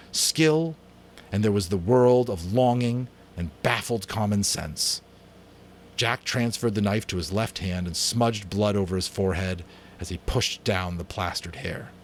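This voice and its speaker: A man narrating a novel aloud in a dramatic audiobook style, measured and deliberate. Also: lowish voice, slight background noise. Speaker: narrator and amateur voice actor.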